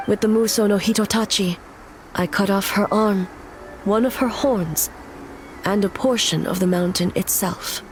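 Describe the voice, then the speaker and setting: A grave, commanding female shogun from a video game, acted by a woman. Her pitch sits in the middle and her phrasing is formal and measured. She sounds ancient in authority and resolute, over background music. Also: natural voice, low-quality recording. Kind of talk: video game cutscene acting.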